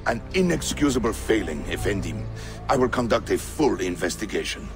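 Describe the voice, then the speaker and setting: A man's voice: on the low side, big pitch swings, normal speed, music in the background. An actor playing a proud, blunt Ottoman military captain in a video game. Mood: stiffly deferential. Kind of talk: video game cutscene acting.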